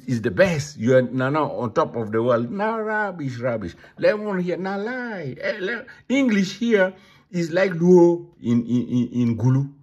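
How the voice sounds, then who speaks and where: A man's voice: mid-range voice, darkish tone, breathy edge, dull audio. A man with a Ugandan accent speaking in a casual monologue, emphatic and given to repeating himself. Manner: repetitive, emphatic. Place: London.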